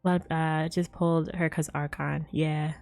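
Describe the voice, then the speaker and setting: A woman's voice: sits low, flat delivery, measured pace, gravelly, a little mellow, faint music behind. A woman hosting a casual gaming livestream, chatting with viewers in a bubbly, giggly way. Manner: bubbly greetings, giggles often. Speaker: live streamer host.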